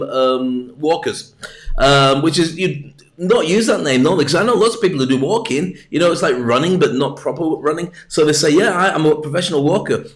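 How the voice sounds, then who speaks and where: A British man hosting a livestream, talking in a chatty, jokey, rambling way into a webcam mic at his desk. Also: a little high. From Britain. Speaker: livestream host. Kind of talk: rambling casual monologue.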